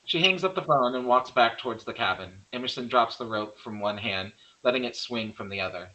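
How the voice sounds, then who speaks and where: Man, mid-pitched bright forceful voice, narrator of a screenplay read, halting delivery. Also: animated, muffled recording. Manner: halting, stumbles and corrects himself. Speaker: narrator reading stage directions. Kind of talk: amateur screenplay table read.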